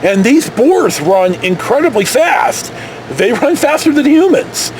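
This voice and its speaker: High-pitched, animated American man telling stories. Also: measured pace, noisy background. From California. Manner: folksy, mildly profane, digressive. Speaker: storytelling vlogger. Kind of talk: casual rambling anecdote.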